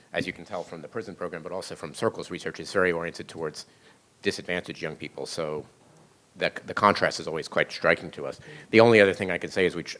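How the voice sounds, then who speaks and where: A man's voice: sits low, fairly bright tone. A man speaking as a faculty panelist, earnest and restarting his sentences often. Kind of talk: panel discussion answer.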